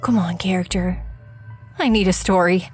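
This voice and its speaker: A woman's voice: on the low side, steady tone, normal speed, faint music behind, lightly acted. A woman playing a weary writer in an audio drama, musing and confiding in the listener with wry, self-mocking asides. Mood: coaxing, pleading.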